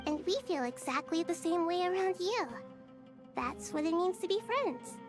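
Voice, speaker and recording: An actress playing a gentle, wise little girl ruler in a video game, with courteous and formal phrasing. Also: very high voice, animated, normal speed, over music. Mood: caring, reassuring.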